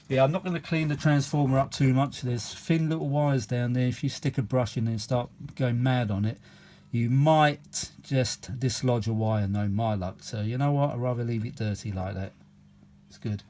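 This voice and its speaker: A man's voice: mid-range voice, moderate pace, mellow, a little hiss or hum. A man giving casual, rambling hands-on commentary as a repair hobbyist, matey and full of tag questions. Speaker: vintage audio repair hobbyist and video host. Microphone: phone held or propped at the workbench.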